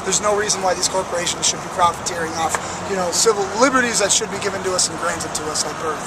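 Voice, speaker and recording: Young man, raspy strained voice, high-pitched, impassioned, traffic noise outdoors. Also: fast. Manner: earnest and impassioned, with frequent fillers. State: raspy, worn voice. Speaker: protester. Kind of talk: street interview. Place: outdoors on a city plaza by traffic.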